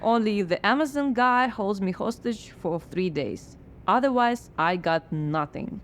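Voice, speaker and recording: An actress playing a blocked woman playwright who answers with wry, deadpan sarcasm in a radio drama. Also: mid-range voice, measured pace, a little hiss or hum, lightly acted. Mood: sardonic. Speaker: writer.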